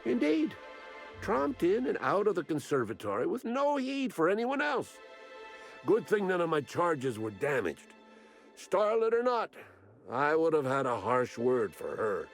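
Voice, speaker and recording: Crotchety old botanist, wildly animated and gravelly. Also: male voice, a little high, unhurried, faint music behind, full character voice. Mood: indignant. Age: older. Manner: grumbling, rambling, theatrical. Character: crotchety, eccentric old botanist, rambling and morbidly blunt. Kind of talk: video game character acting.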